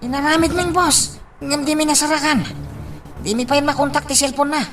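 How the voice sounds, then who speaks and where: A man's voice: extremely high pitch, steady tone, fairly quick, a bit bright, distant mic, soft background music. A man acting a deferential henchman reporting to a crime boss in an Ilocano radio drama. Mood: anxious, deferential.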